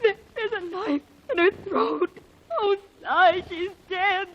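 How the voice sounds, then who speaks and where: A woman's voice: extremely high pitch, takes it slowly, full character voice. An actress playing a panicked woman who has just found a stabbed body, crying hysterically, in 1940s radio acting. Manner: hysterical, sobbing cries. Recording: dull old radio broadcast, crowd behind.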